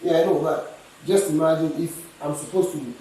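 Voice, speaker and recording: A man's voice: a little high, steady tone, moderate pace, dark tone, slight background noise. Distant man in echoey kitchen. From Ghana. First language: Ghanaian language. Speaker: cooking competitor. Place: rental apartment kitchen.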